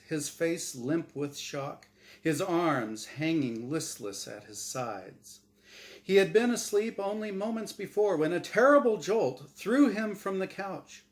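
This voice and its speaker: A man narrates a story as its author. His delivery is slow and deliberate, with lively swings in pitch. It is a dramatic, scripted reading.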